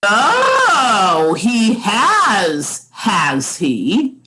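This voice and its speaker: A woman acts out an imperious old society matriarch for a radio play. She speaks very slowly and haughtily, her pitch swooping widely as she commands and looks down on everyone. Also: wildly swooping pitch, bright, forceful tone, full character voice, poor audio. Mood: haughty, appraising. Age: older. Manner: haughty, drawn-out, commanding delivery. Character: imperious, snobbish old grand dame matriarch. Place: remote home recording over video call. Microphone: video call.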